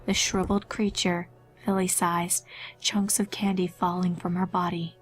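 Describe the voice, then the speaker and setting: A woman's voice: fairly low pitch, flat delivery, normal speed, soft background music. A woman narrating a scripted horror fan fiction story.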